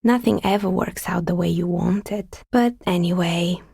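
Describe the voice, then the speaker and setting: A woman playing a skeptical, world-weary artisan in a video game, with dry, sardonic and politely measured delivery. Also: on the low side, vocal fry, warm tone, slightly rough, dry recording. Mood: resigned, reflective. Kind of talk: video game dialogue acting.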